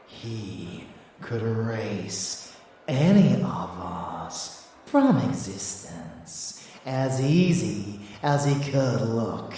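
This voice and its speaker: A man's voice: lowish voice, big pitch swings, extremely slow, dark tone, very echoey, recorded from a distance, slight background noise, heavily acted. A man playing a rebellious vampire lover in an intimate ASMR audio drama, teasing, boastful and doting, with menace underneath. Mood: boastful recounting.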